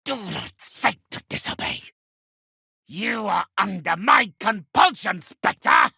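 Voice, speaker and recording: An actor playing an imperious, wrathful undead necromancer in a video game, with a theatrical, menacing, commanding delivery. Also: male voice, high-pitched, expressive, takes it slowly, gravelly, sharp tone, husky, no room sound, studio quality, exaggerated voice. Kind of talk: video game character acting.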